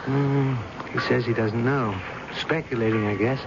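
A man's voice: medium pitch, steady tone, a little slow, creaky, over loud music. An actor playing a married woman's secret lover, a protective lawyer, with earnest, persuasive delivery in an old-time radio drama style. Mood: exasperated, probing. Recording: dull noisy vintage radio drama broadcast.

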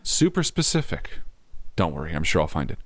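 A man's voice: very deep voice, wildly swooping pitch, a little fast, no room sound, heavily acted. A man playing a sardonic, practical police officer in an audio drama, with a dry, teasing manner. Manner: dry, sarcastic. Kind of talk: naturalistic audio drama acting.

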